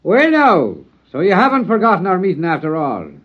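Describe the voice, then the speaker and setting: An actor playing a charming, lilting stranger in a 1950s radio drama. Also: male voice, high voice, wildly swooping pitch, fairly quick, exaggerated voice. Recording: dull old radio broadcast.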